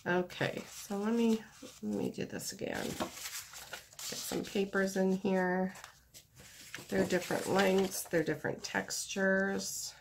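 A woman talks through her crafting in a slow, rambling, easygoing way, her nose stuffed up from a cold. Her voice sits low with a gravelly fry. The recording is noisy, full of paper rustling at the table. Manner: chatty, rambling, relaxed while working with her hands. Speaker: craft video host. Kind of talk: casual craft tutorial talk. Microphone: camera above a tabletop, hands only.

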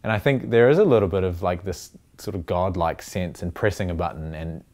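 A man's voice: deep voice, fairly quick, darkish tone. A young man, a designer, talks reflectively in a documentary interview and often restarts his sentences. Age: young adult. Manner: reflective, with frequent restarts and filler "uh". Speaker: designer, studio founder. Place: large indoor design workshop. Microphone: lapel mic.

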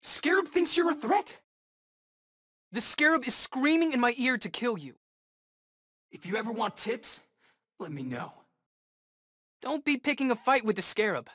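An actor playing a cocky, eager young rookie superhero in a video game, bantering in casual, wisecracking slang. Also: male voice, extremely high pitch, big pitch swings, a little slow, pauses often, a bit bright, slightly rough, full character voice. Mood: confident, taunting. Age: young adult.

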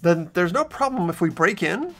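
Visual novel schoolboy lead, acted: youthful, earnest, anxious, animated intonation, music underneath. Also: mid-range voice, normal speed. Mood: worried. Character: earnest teenage schoolboy narrator, easily startled, anxious. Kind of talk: visual novel dub, narration and dialogue. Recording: background game music.

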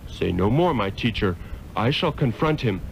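An actor playing an earnest, resolute sorcerer hero in a 1960s radio drama, with formal, declamatory delivery. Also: male voice, lowish voice, lively intonation, normal speed, gravelly, slight background noise, low-quality recording. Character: earnest, resolute adult male sorcerer hero, devoted pupil.

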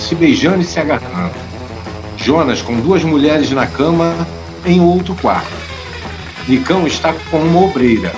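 A man's voice: fairly high pitch, poor audio. A man reading scripted scene narration for an audio drama.

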